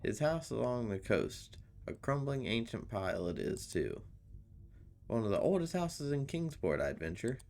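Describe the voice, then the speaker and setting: A man's voice: mid-range voice, very animated, moderate pace, vocal fry, a little mellow. A man reading a visual novel's part of a suspicious small-town pub local, guarded and needling with strangers in a folksy way. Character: suspicious, grudging local pub drinker, gossipy townsman.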